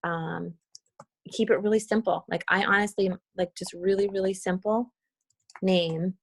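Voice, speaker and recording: Creaky-voiced woman giving a casual tutorial. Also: mid-range voice, fairly even intonation, a little slow. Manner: casual, thinking aloud while demonstrating. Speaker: team leader giving a tutorial. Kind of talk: informal screen-share walkthrough on a group call. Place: video call with screen share.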